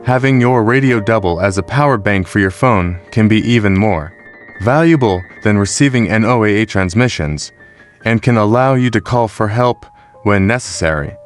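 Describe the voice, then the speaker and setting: A man with a fairly low voice delivers scripted review narration. He reads in a measured, explanatory manner, like a narrator walking through buying advice. Music plays behind his voice. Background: background music.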